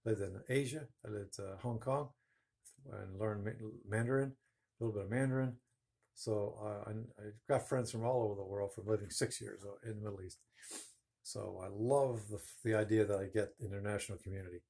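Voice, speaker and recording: A middle-aged man with a husky, slightly low voice talks casually through a woodworking demonstration. He rambles and corrects himself as he goes, speaking to a phone camera at arm's length in his home woodshop. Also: fairly even intonation, moderate pace, warm tone. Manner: rambling, chatty, self-correcting. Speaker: woodworking channel host.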